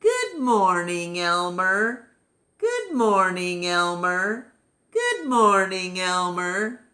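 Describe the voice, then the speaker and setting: A woman voicing excitable, easily puzzled elephants from a children's story, with exaggerated questions and exclamations. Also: on the low side, extremely slow, exaggerated voice. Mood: cheery greeting.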